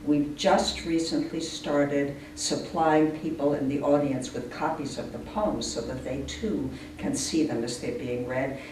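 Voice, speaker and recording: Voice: medium pitch, steady tone, a little slow, few pauses. Earnest volunteer speaker in an echoey, noisy room. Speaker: leader of a poetry reading-aloud group. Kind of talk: informal interview answers. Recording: echoey distant room recording, clear noise.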